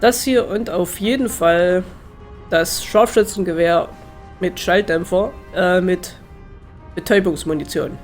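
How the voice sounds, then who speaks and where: A man's voice: high voice, a little slow, soft background music. A man with a slightly congested, nasal voice from a cold, giving casual, hesitant Let's Play commentary as he thinks aloud.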